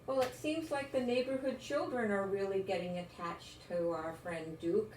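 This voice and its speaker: An older woman reading a children's story aloud in a gentle, expressive, encouraging way. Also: medium pitch, normal speed. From United States. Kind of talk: read-aloud children's story with chatty asides. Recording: roomy echo, distant mic, faint noise.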